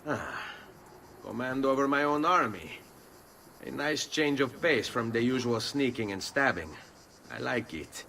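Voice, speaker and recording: An actor playing a cocky young Italian assassin with an Italian accent, wry and taunting, in a video game. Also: male voice, mid-range voice, expressive, a little slow, vocal fry, sharp tone, a little hiss or hum, full character voice. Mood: wry, musing. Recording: game music behind the voice.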